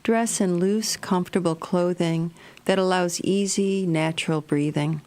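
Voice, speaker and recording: A woman narrating a guided meditation in a gentle, measured, soothing manner. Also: fairly low pitch, steady tone.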